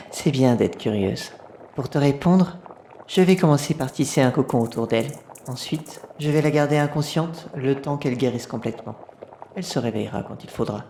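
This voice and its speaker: A woman playing a composed, cryptic spider-like priestess healer with a measured way of speaking in an audio drama. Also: extremely low pitch, animated, dark tone. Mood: amused, calm. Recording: echoey, faint background noise.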